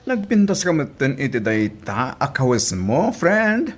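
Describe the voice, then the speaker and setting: An actress playing a nosy, teasing female friend in an Ilocano audio drama, full of drawn-out exclamations. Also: very deep voice, very animated, heavily acted. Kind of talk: Ilocano radio-style drama acting.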